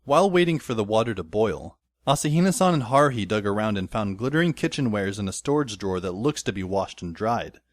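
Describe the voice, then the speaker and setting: A man's voice: fairly low pitch, animated, fast, hardly any pauses, no echo, lightly acted. A man playing a wry, weary teenage schoolboy who narrates an audio drama in a dry, sardonic way. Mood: wry narration. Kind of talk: first-person scripted audio drama narration. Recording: music under the voice.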